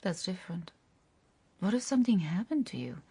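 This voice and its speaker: A husky, seductive schemer. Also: female voice, lowish voice, big pitch swings, a little fast, mellow, heavily acted. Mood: sly, calculating. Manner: sultry, teasing, controlled. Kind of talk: radio drama dialogue.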